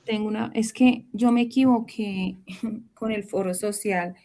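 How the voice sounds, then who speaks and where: A woman student, a little hesitant, restarting her words as she asks her teacher a question on a video call. Her voice sits at an ordinary middle pitch. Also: fairly even intonation, a little slow, warm tone. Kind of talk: asking a question in an online class.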